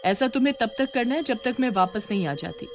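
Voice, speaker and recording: A woman's voice: fairly high pitch, expressive, brisk, faint music behind, voice pushed up. A woman voicing a friendly but firm teacher giving instructions to pupils in a story. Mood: falsely friendly.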